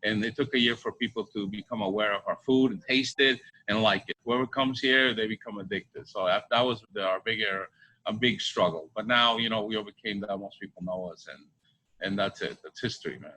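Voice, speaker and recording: A middle-aged man from Bolivia answering interview questions conversationally, halting and repeating his phrases. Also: on the low side, pushed and bright, poor audio. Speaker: restaurant co-owner. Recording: faint background ambience noise.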